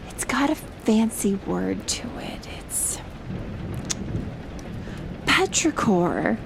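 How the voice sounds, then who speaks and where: A woman playing a gentle, shyly affectionate best friend in an intimate audio roleplay, speaking softly and hesitantly with a breathy, near-whispered voice. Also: medium pitch, big pitch swings, noisy background, exaggerated voice. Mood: calm, reflective. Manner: soft, hesitant, slow with long pauses. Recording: loud rain and thunder throughout. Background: rain and thunder effects.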